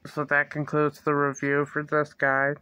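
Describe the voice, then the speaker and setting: A young man casually reviewing a toy figure in his bedroom, talking slowly and haltingly with fillers and restarts, close to a phone or webcam. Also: fairly high pitch, monotone, bright, forceful tone, a little hiss or hum. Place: small bedroom indoors. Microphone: phone or webcam close to the face.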